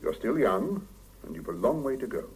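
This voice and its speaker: An actor playing a stern middle-aged boss in a 1970s radio drama, clipped and authoritative. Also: male voice, mid-range voice, big pitch swings, moderate pace, a little mellow, husky. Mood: measured, formal. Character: stern middle-aged male laboratory boss, brisk and exacting. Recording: muffled 1975 radio drama cassette recording.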